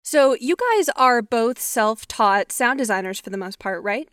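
A woman's voice: a little high, measured pace, fairly bright tone, clean tone, no room sound. A woman hosting a podcast, reading scripted narration and asking interview questions. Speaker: podcast host.

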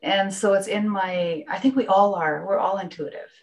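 Canadian woman, halting and reflective. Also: mid-range voice, dull audio. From Northern Ontario, Canada. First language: Finnish. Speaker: interview guest. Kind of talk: reflective personal conversation.

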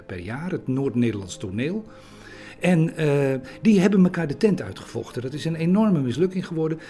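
A man's voice: mid-range voice, a little mellow. A man giving a hesitant interview, searching for words and often repeating himself. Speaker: interviewee recounting theatre history.

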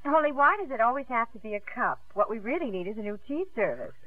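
A woman's voice: on the high side, animated, fast talker. A woman playing a spirited, practical, devoted young daughter in a 1950s radio drama. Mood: wry, concerned. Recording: muffled 1950s radio broadcast, faint music.

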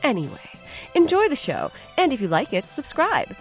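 Playful woman podcast host, hugely animated pitch. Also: moderate pace, soft background music. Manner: playful, theatrical. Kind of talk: scripted promo narration.

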